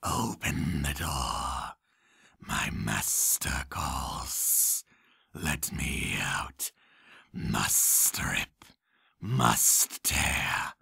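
A man voicing a vicious monstrous serpent that speaks in a slow, hissing whisper from the dark. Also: a bass voice, animated, creaky, husky, no room sound, studio quality, voice pushed down, exaggerated voice. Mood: menacing. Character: monstrous serpent, vicious and menacing, hungry to kill.

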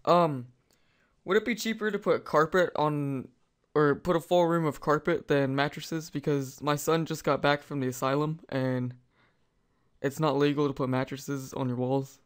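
Clear-voiced young man, deadpan prank caller. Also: on the high side, moderate pace. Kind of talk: deadpan prank phone call. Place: voice call over computer.

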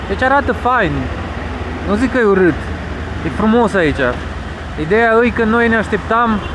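A man from Romania talks to his action camera as he walks a crowded street, sounding worn out and a bit fed up. His voice sits fairly high for a man. Traffic and street noise run behind him. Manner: talks while walking, complaining and tired. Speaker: travel vlogger. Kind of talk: casual vlog commentary. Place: busy street outdoors, then tuk-tuk and guesthouse.

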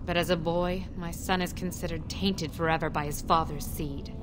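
A woman's voice: lowish voice, steady tone, moderate pace, noisy background, lightly acted. A woman voicing an anxious outcast dwarf mother. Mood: earnest. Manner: earnest, confiding. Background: game music.

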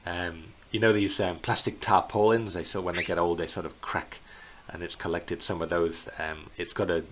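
A middle-aged man giving an unscripted slideshow narration as a tour guide, in a hesitant, halting way, recorded indoors on a computer microphone. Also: low-pitched, level tone. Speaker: bird tour guide presenting a virtual tour. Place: indoors in a small plain room. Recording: clear background noise.

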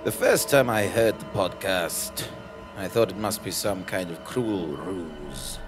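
A man's voice: sits low, slow, music in the background. A man playing an earnest, self-important investigative journalist, narrating a podcast parody on stage in a pompous, dramatic way. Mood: grandly earnest. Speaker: narrator and lead actor. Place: small theatre stage, live show.

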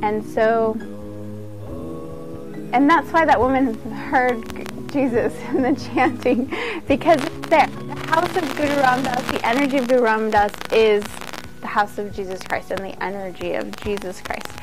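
A woman's voice: a little high, steady tone, measured pace, over music, poor audio. A woman giving an informal instructional talk as a yoga teacher, conversational and earnest.

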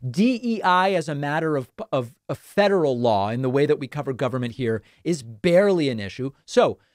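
Guy in his 30s hosting a call-in show, kinda high voice, dry close studio mic, lays out points one by one. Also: expressive, no room sound. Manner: measured, explanatory, lists points methodically. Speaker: host. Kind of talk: call-in political talk show. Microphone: studio broadcast mic.

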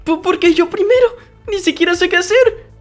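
A woman's voice: very high-pitched, moderate pace, soft background music. A woman voicing an earnest, nervous, overthinking teenage hero boy in a cartoon fandub, hesitant and analytical. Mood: flustered. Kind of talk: fandub comic acting.

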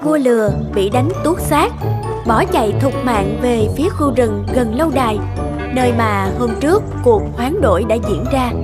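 A woman narrates an animated fairy tale as a scripted story. Her voice is fairly high, with prominent music underneath. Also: normal speed.

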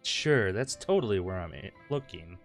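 A man's voice: low voice, expressive, moderate pace. A man hosting a gaming video, reading a visual novel aloud in a mock-dramatic way and breaking off for joking asides. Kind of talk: comic read-aloud of game text with joking asides. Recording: music playing behind. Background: loud background music.

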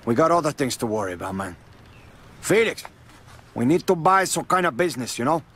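A man's voice: on the high side, big pitch swings, fairly quick, a bit bright, husky, exaggerated voice. An actor playing a brash, hot-tempered male drug lord in a video game cutscene, with a profane, swaggering way of talking. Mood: cocky. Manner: swaggering, profane, cursing constantly.

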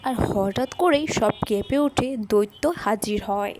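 A woman's voice: medium pitch, unhurried, background noise. A woman narrating a fairy tale for a cartoon, reading from a script.

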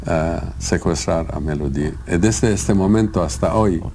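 A Lebanese man interviewed in foreign-accented Spanish. His voice is deep and creaky, and he speaks haltingly at a very slow pace. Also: dark tone, a little hiss or hum. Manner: halting, broken grammar.

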